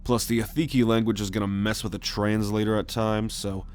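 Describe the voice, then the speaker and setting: A man acting a roguish, protective alien scout captain in a sci-fi ASMR roleplay, measured and authoritative as he gives orders. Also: fairly low pitch, level tone, close to an everyday voice. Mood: gruff, resolute.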